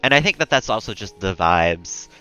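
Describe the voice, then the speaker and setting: Calm, low-voiced man streaming games. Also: normal speed, clean tone, highs cut off, soft background music. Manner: calm, relaxed, rambling. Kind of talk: casual chat while gaming.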